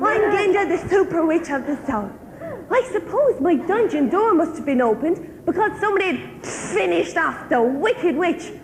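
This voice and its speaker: A woman's voice: very high voice, expressive, normal speed, noisy background. A woman playing a self-important, gushing good witch in a comic stage pantomime. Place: theatre stage in a large hall. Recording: echoey hall stage recording.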